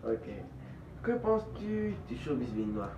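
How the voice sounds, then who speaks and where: Man, interviewer, prepared questions, distant from the mic, clearly noisy recording. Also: medium pitch, sing-song, unhurried. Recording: echoey, muffled, off-mic, noisy.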